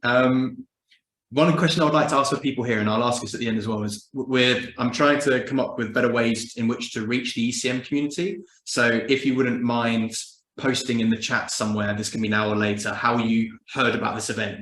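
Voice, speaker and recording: Casting: male seminar host, quick rambling delivery, roomy echo, faint background noise. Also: fairly low pitch, fairly bright tone. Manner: rambling, hesitant with fillers. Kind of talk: introducing a speaker on a video call. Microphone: video call. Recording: echoey room with faint noise.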